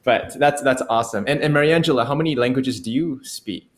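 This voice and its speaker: A young man hosting a casual chat over a video call, friendly and quick to laugh, on an earphone mic at home. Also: mid-range voice. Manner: friendly, chatty, laughs often. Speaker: host. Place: home room.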